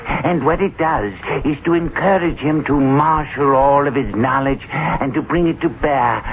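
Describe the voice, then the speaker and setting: An actor playing a courteous, scholarly, mysterious gentleman in a radio drama, explaining things politely. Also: on the high side, measured pace. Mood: earnest, explanatory. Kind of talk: old radio drama acting. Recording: tinny, noisy old radio recording.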